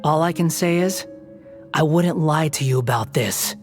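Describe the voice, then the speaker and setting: An actor playing an aloof, proud young wandering god in a video game, speaking with a cool, dry, mocking delivery. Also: male voice, a little high, unhurried, soft background music, natural voice. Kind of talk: video game cutscene dialogue.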